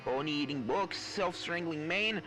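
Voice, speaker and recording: A man's voice: fairly high pitch, a little slow, lightly acted. A man voicing a fretful, kindly eccentric professor pony in a fan story reading, fussy and theatrical. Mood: grave, anxious. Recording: loud game music under the voice.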